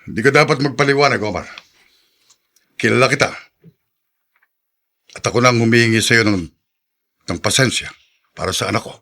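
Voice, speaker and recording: A man's voice: medium pitch, fairly quick, gravelly, long pauses, bright, forceful tone. A man acting an exasperated, fair-minded father with a Filipino accent in a radio drama. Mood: apologetic. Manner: stern, emphatic. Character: exasperated, fair-minded father scolding his unruly son.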